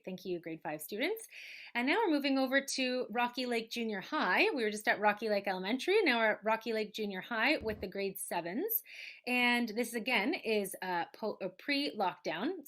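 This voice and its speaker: A woman's voice: medium pitch, a bit bright. A woman hosting a student showcase, casually introducing performances in an appreciative, encouraging way. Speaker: host of a student arts showcase.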